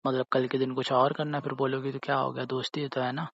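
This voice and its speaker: A man's voice: mid-range voice, level tone, brisk, a little hiss or hum, close to an everyday voice. A young man acting a hurt, jealous boyfriend who accuses and sulks in casual phone-call talk, often tagging his phrases with questions. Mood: hurt, sarcastic. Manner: sulky, repetitive, ends phrases with tag questions. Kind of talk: acted phone-call drama in casual conversational speech.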